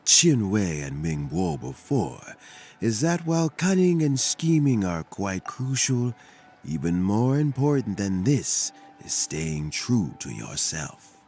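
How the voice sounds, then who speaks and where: A calm older mentor official. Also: male voice, fairly low pitch, exaggerated voice. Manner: measured, advising. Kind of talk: game character dialogue. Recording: game audio with music behind.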